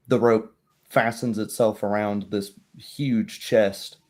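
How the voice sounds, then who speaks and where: A man narrating a tabletop roleplaying game as game master, in a measured way. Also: lowish voice, fairly even intonation, darkish tone.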